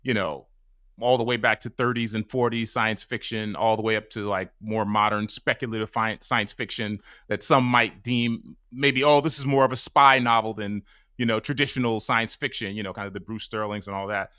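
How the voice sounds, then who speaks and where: Brief: bright, crisp male host, loose and rambling with fillers, dry close mic. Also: lowish voice, steady tone, normal speed, no echo. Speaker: podcast host. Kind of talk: casual interview chat. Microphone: mic on a stand over a video call.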